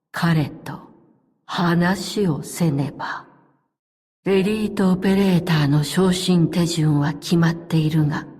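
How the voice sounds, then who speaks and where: A woman voicing a calm, stoic, loyal elite operative in a game, speaking in formal, measured, terse lines. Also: fairly low pitch, long reverb tail. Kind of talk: scripted game character voice lines.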